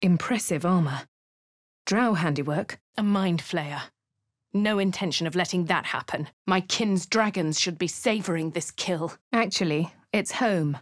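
An actress voicing a posh young woman adventurer in a game, speaking in a measured, composed way with a posh English accent. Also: fairly low pitch, no echo, clean studio sound. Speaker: player character. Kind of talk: game voice lines.

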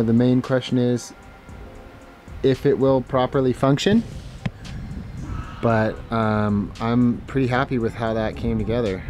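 Guy explaining his diy house project step by step, chill vlog tone, light music underneath. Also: mid-range voice. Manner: explains each step plainly and calmly. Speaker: host of a home renovation channel. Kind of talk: casual DIY vlog narration.

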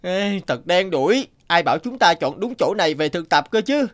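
An actor playing an eager, excitable young male agriculture intern in a cartoon, earnest and respectful. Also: high voice, normal speed. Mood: complaining. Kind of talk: animated drama dubbing. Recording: music under the dialogue.

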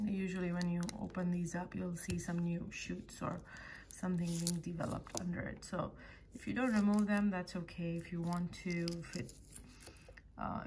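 Woman, home gardener, relaxed how-to talk; slowish, gravelly voice, a bit low, faint hiss behind. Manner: unhurried, with many fillers and self-corrections. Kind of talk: casual how-to demonstration. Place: home, at a wooden table indoors.

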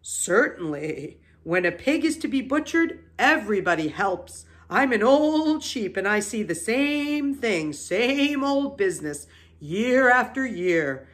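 A woman's voice: medium pitch, very animated, slow pace, fairly bright tone. A woman voicing a blunt, gossipy old female sheep with an emphatic, snappish delivery in a children's storybook.

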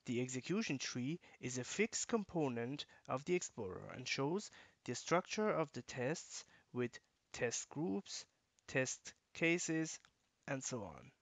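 A man with a mid-range voice reads a software walkthrough in an even, instructional way. The recording is dry and close, with no room sound. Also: mid-range voice, gravelly, dry recording. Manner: measured, instructional. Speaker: software tutorial narrator. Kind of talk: scripted screencast narration.

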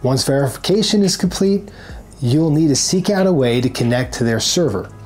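A man's voice: a little high, animated, normal speed, darkish tone, breathy edge. A man in his forties giving scripted, instructional narration to camera as a tutorial presenter. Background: background music.

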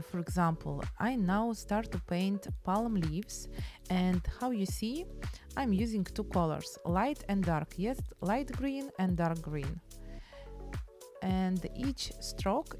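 Chatty Ukrainian woman vlogging in slow English. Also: mid-range voice, expressive, over music. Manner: chatty, enthusiastic, broken English. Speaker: painting vlogger. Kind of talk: casual travel and painting vlog. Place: beach outdoors and art studio. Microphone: handheld phone camera at arm's length.

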